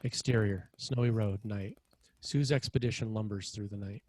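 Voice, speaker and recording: A man's voice: on the low side, moderate pace, darkish tone, slightly rough. A man narrating screenplay scene headings and action in a scripted read.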